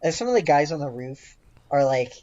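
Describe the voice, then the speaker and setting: A game master improvising narration for a tabletop game in a hesitant, halting way. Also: medium pitch, very animated, dark tone. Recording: dull, lo-fi voice recording.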